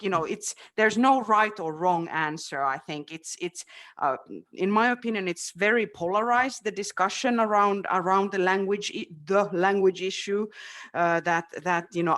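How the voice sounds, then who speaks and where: A man's voice: high voice, a bit bright. A man whose first language is Finnish, speaking as a city education official in a webinar discussion, hesitant, with many fillers and restarts. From Finland. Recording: video call.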